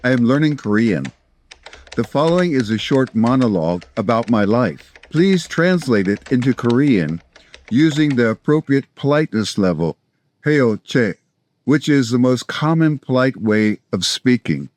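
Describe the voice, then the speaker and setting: A man in his sixties from South Florida with an American accent, presenting scripted language-learning instruction in a measured, explanatory way. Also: medium pitch, expressive, darkish tone, a little hiss or hum. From South Florida, United States. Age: 60s. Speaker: language-learning video host, polyglot. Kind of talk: scripted instructional narration to camera.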